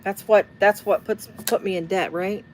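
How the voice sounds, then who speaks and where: A woman's voice: medium pitch, steady tone, normal speed, a little hiss or hum. A middle-aged woman, hosting a casual live sewing demonstration from her home sewing room and rambling into side stories with lots of fillers. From Midwestern US. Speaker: sewing tutorial host.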